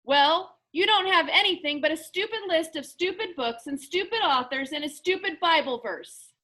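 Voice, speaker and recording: A woman's voice: extremely high pitch, expressive, moderate pace, bright, forceful tone, pitched above the performer's own voice, heavily acted. A woman voicing a whiny, defensive boy caught cheating, reading a children's book aloud. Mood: sputtering, petulant. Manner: whining, sputtering.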